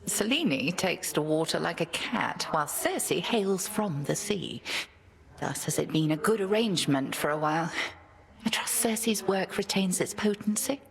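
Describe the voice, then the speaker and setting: A woman's voice: on the low side, expressive, a little slow, gravelly. A woman voicing a stern older witch headmistress with a dry, teasing wit in a studio game. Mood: measured. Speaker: game character.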